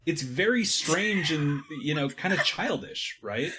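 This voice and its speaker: A man's voice: on the high side, lively intonation, measured pace, sharp tone, a little hiss or hum. A man talking casually and analytically about books as a podcast panelist, with slangy turns of phrase. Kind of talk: casual book discussion.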